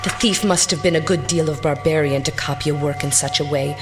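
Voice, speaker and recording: A woman's voice: sits low, fairly quick, natural voice. Stern gothic widow, played by a woman, echoing. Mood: grave warning. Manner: grave, formal, warning. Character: stern, ominous bereaved widow in gothic horror. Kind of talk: stage radio drama acting. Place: live theatre stage. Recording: reverberant theatre stage with music behind.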